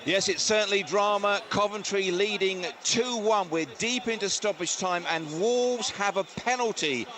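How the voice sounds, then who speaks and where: Clear background noise surrounds a male football reporter at a stadium. His voice sits high as he delivers live match commentary and score reports. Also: normal speed. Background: stadium ambience.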